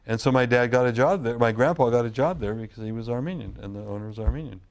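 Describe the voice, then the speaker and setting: A man's voice: mid-range voice, fast, creaky. A middle-aged man from California, a wine grape grower, telling long family stories in a casual interview with frequent restarts. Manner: rambling, restarts sentences often.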